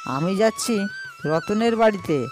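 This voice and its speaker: Eager young village guy in a cartoon, someone acting it, polite, music loud behind. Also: mid-range voice, expressive, measured pace, lightly acted. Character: eager young male villager, helpful friend.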